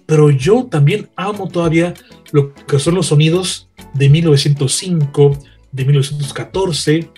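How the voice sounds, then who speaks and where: A man's voice: fairly high pitch, normal speed, a little mellow, breathy edge, faint music behind. A man, a radio veteran chatting and telling anecdotes emphatically, repeating phrases for effect. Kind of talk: animated anecdote-telling in a panel chat.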